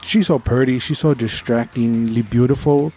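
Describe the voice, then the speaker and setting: A man's voice: mid-range voice, moderate pace, warm tone, slightly rough, soft background music. A man co-hosting a podcast, giving opinionated, informal review chat with bits of trivia. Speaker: podcast co-host.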